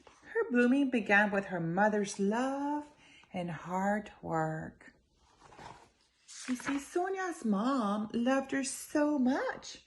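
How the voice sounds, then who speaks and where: A woman reading a children's story aloud in an expressive, emphatic way, speaking directly to her young listeners. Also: a little high, slow.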